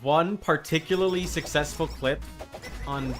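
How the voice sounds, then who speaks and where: A man chatting casually and rambling as he streams a video game, with an animated, amused manner. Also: on the high side, a little slow. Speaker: live streamer. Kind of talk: casual gaming stream chat. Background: video game music.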